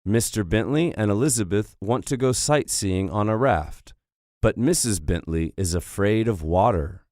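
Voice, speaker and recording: A man reads English textbook audio, announcing track numbers and reading passages and word lists. His voice is low and swings widely in pitch, and he speaks slowly and clearly. The sound is close and dry. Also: expressive, darkish tone, dry recording. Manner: slow, clearly articulated announcing. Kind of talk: scripted textbook listening narration.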